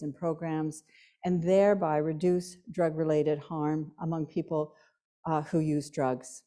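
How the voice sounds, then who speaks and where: A woman hosting a lecture event, introducing the guest speaker and reading her credentials in a measured way. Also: lowish voice, dark tone. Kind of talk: formal introduction.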